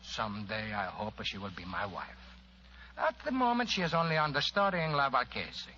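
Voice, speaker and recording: An actor playing a courtly, effusive Italian police inspector in old-time radio drama style, with an Italian accent and florid, hesitant politeness. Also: male voice, mid-range voice, huge pitch swings, normal speed, bright, forceful tone. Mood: fond, excited. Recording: muffled old radio recording, faint noise.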